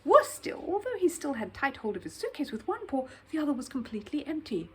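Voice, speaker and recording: A woman reading a children's story aloud in expressive scripted narration. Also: high-pitched, a little fast.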